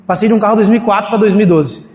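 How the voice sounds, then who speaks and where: A man's voice: sits high, racing, a little mellow. A man preaching a sermon in a church in a casual, storytelling way, acting out the voices of people he quotes. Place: church hall. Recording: echoey hall, faint ambience.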